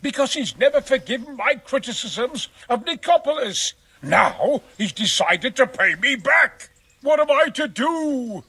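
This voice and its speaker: Scared paranoid noble in a game, really high sharp voice, husky, pleading and dramatic. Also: male voice, sing-song, measured pace, exaggerated voice. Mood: fearful, dread. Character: frightened, paranoid nobleman hiding from would-be assassins. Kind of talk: video game cutscene acting.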